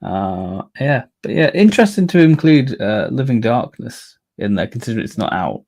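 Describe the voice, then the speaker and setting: Male co-host, expressive range with some fry, opinionated and informal fan chatter. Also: mid-range voice, normal speed. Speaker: co-host of a fan discussion stream. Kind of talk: casual fan chat.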